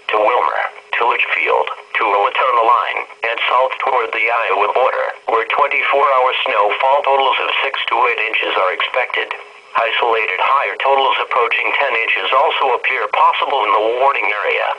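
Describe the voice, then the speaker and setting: A synthetic-sounding man's voice reads a scripted weather bulletin in a formal, measured way. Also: medium pitch. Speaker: weather radio announcer. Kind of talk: scripted weather forecast narration. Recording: muffled weather radio broadcast, faint static.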